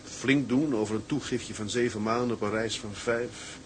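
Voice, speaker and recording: A man's voice: mid-range voice, fairly even intonation, normal speed, a little hiss or hum, close to an everyday voice. An actor playing a troubled, homesick young seaman who broods and talks to himself in a radio drama. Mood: anguished, brooding. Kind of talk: Dutch radio drama monologue and dialogue.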